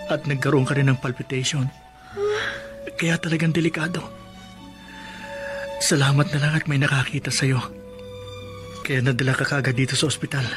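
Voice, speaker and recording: A man acting a gentle, concerned, apologetic husband in a Filipino radio drama. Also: medium pitch, close to an everyday voice.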